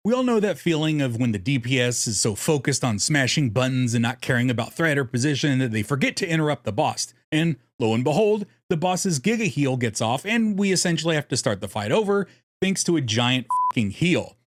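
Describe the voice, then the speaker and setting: Male guide host, highly animated intonation, jokey and irreverent, close dry voice-over. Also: fairly quick, no room sound. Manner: jokey, irreverent, swears casually. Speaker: host of a card game deck guide. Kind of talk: scripted narration for a strategy guide.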